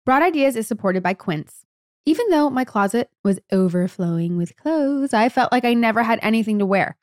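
A woman's voice: a little high, lively intonation, normal speed, darkish tone, no echo, clean studio sound. A woman podcast host doing a casual, scripted sponsor read in a personal first-person style.

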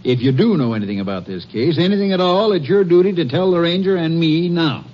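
An actor playing a plain-spoken, stern small-town sheriff in a 1950s radio drama. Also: male voice, on the high side, expressive, brisk, a little hiss or hum, lightly acted. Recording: muffled old radio broadcast.

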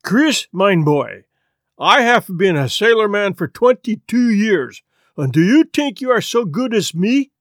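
A man's voice: sits high, sing-song, measured pace, no room sound, clean studio sound, exaggerated voice. A man voicing a boastful, touchy old Swedish sailor with a thick Swedish accent. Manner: thick put-on accent, blustering.

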